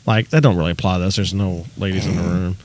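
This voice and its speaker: A man's voice: low voice, fairly even intonation, quick pace, darkish tone. A man co-hosting a casual podcast, reading party questions aloud in an easygoing way. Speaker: podcast co-host reading game cards. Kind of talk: casual chat.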